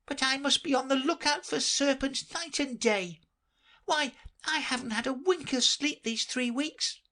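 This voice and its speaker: Fretful, indignant storybook pigeon, shrill and high-pitched. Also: female voice, measured pace, a bit bright. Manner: shrill, accusing, sobbing complaints. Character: fretful shrieking female pigeon, indignant and suspicious.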